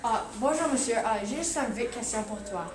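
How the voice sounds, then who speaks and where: A woman's voice: a little high, lively intonation, moderate pace. A woman interviewer asking casual questions in a street interview. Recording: echoey, noisy surroundings.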